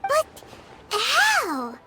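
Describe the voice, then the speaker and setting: Tiny, very high-pitched childlike game sidekick. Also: female voice, wildly swooping pitch, drawn-out words, loud music behind the voice, exaggerated voice. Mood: exasperated, curious. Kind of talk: video game voice acting.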